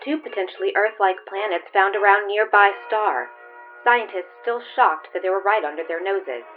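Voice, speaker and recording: A woman's voice: mid-range voice, normal speed, lightly acted. A female news broadcaster, played by a woman, reading a scripted bulletin in a space saga. The sound is dull, like a lo-fi broadcast, with faint music. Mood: newsy.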